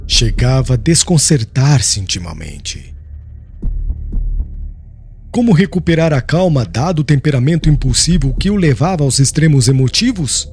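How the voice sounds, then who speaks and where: A man's voice: medium pitch, big pitch swings, normal speed, a little mellow. A man reading a solemn, dramatic audiobook narration.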